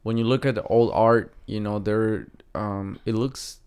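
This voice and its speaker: Mexican-accented man in his 30s, lowish smooth voice, hesitant guest. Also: fairly even intonation, unhurried, a little mellow. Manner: hesitant, many fillers and restarts. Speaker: guest artist. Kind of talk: casual interview.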